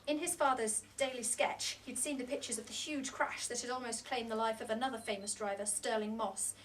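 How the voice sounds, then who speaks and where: A woman's voice: fairly high pitch, steady tone, brisk. Woman reading a novel aloud, distant mic. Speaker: reader at a book launch. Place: indoor bookshop launch party. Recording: distant mic in a noisy room.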